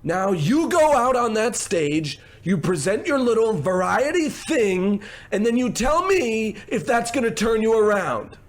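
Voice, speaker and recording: A man playing a brash, high-voiced producer. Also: sing-song, unhurried. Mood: bossy, smug. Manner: smooth-talking, condescending, gushing endearments. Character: brash, smarmy, domineering male TV producer. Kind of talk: old-time radio comedy acting.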